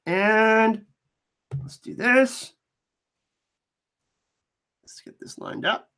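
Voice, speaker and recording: Excitable man with a slightly scratchy voice. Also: sits high, very slow, clear, resonant tone. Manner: excitable, enthusiastic fan. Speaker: fan channel host. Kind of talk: casual trailer breakdown commentary.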